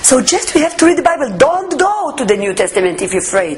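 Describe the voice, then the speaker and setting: Israeli woman, Hebrew her first tongue; earnest testimony in careful English, voice a bit high. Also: big pitch swings, fast. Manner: earnest, searching for words in English, emphatic. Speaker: testimony speaker. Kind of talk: personal faith testimony.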